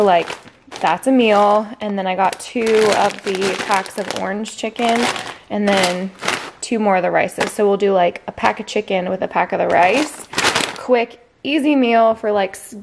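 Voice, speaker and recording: A chatty woman in her thirties talks through her groceries at home, her voice slipping into a gravelly fry as she goes. The sound comes from a handheld vlog camera in a kitchen, with a faint hiss of background noise underneath. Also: medium pitch. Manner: chatty, upbeat, lots of fillers. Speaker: vlogger. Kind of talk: casual grocery haul chat.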